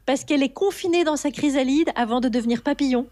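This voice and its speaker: High-pitched woman radio host, jokey, laughs a lot, teacher voice for fun. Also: fast talker, crowd noise. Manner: teasing, laughs often, mock-schoolteacher tone. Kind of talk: playful hosting banter.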